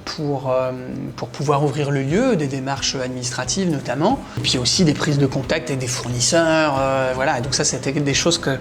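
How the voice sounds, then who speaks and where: A man's voice: mid-range voice, moderate pace, few pauses. A man from the Paris region giving a casual interview, hesitant and searching for his words. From Paris region, France. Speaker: interviewee, café-gallery owner.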